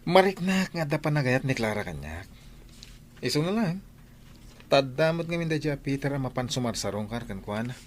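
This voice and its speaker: A man's voice: mid-range voice, big pitch swings, normal speed, close to an everyday voice. A man playing a lovesick, reluctant young bachelor in a radio drama, with an Ilocano accent. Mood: dejected. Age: young adult. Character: lovesick young man, sulky hard-drinking older brother.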